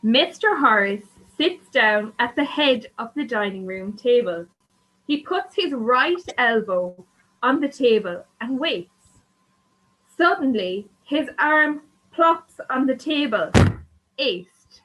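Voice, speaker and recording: A woman's voice: a little high, expressive, slow, fairly bright tone, low-quality recording. A woman reading scripted stage directions as the narrator of a radio play.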